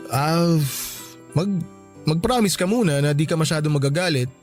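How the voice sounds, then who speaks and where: An actor playing a meek husband in a radio drama, hesitant, appeasing and defensive. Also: male voice, a little high. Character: meek, hesitant, placating husband loyal to his brother.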